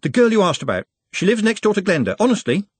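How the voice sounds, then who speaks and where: A man acts out an anxious, bookish male servant from a storybook. He is earnest and painfully polite, choosing formal words with care in a raised, slightly husky voice, recorded dry. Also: fairly high pitch, sing-song, normal speed, no echo, pitched above the performer's own voice. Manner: precise, formal, hesitant wording.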